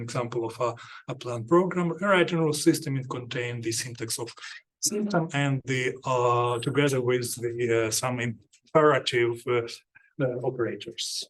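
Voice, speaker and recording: A man giving an academic seminar talk, halting with many fillers and restarts. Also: mid-range voice. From Ukraine. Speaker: seminar speaker.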